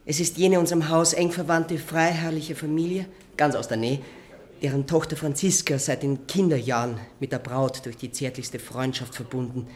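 Actress as low-voiced, courteous well-born lady. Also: fairly even intonation, moderate pace, darkish tone, lightly acted. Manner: polite, formal, gracious presentation. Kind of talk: German radio play acting.

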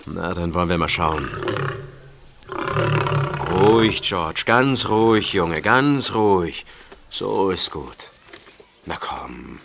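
Man playing a steady, commanding animal-keeper uncle, noisy recording. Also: sits low, slow, gravelly, long pauses, full character voice. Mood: soothing. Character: calm, commanding uncle who raises and soothes lions. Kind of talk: German audio drama acting.

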